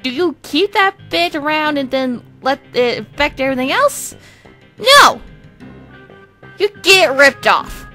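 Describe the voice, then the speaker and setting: Woman voicing a manic, theatrical male twin, high-pitched. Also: bright, forceful tone, heavily acted. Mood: bitter, manic. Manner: theatrical, foul-mouthed, rambling. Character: manic, crude, wounded creative twin Remus, male. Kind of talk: fan comic dub acting.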